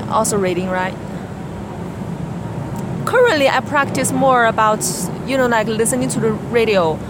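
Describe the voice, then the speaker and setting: A young woman from China with a Chinese accent, a native Chinese speaker answering interview questions hesitantly, with pauses and restarts. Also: medium pitch. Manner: hesitant, many fillers and restarts. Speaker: interviewee, graduate student. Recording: background noise.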